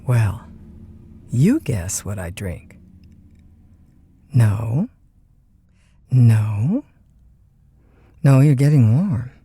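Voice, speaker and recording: A woman's voice: extremely low pitch, very animated, drawn-out words, pauses often, mellow, breathy edge, no echo, exaggerated voice. A woman playing a chatty, lonesome overnight disc jockey in an audio drama, with a folksy, polite, hesitant conversational manner. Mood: wry, weary. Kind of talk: naturalistic audio drama acting, one side of phone calls.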